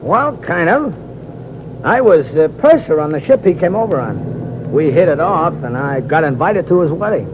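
A sly little seaman, played by a male actor, with a highish, swooping voice and slow, insinuating delivery. He is a cocky blackmailer in a 1940s radio mystery, heard through noisy, muffled old audio. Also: expressive, natural voice. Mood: chummy, sly. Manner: insinuating, smug, drawn-out.

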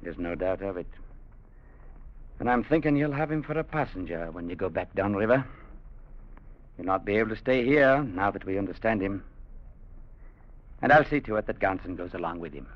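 An actor playing a principled, peace-loving trader, earnest and stern, in a vintage radio drama. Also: male voice, deep voice, lively intonation, normal speed, pauses often. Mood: earnest, calm. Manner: earnest, old-fashioned turns of phrase. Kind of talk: 1950s radio drama acting. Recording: muffled vintage radio recording.

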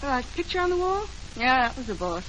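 A helpful, chatty receptionist from a vintage radio mystery. Her voice is fairly high and her talk is informal. There is faint noise on the old recording. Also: big pitch swings, fairly quick, fairly bright tone. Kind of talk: 1940s radio drama acting. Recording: slightly noisy late-1940s radio recording.

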